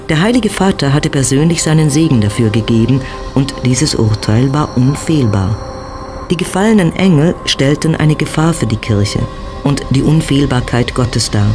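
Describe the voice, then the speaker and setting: A man narrating a dark supernatural audio drama in a solemn, deliberate, scripted style. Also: mid-range voice, natural voice. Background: music.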